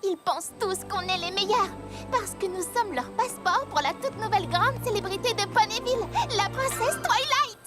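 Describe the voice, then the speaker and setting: An eager little schoolgirl pony out of a cartoon. She schemes in a very high, child's voice, dramatic and persuasive. Music runs clearly behind her. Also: lively intonation, normal speed. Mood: excited, persuasive. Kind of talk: French cartoon dub acting.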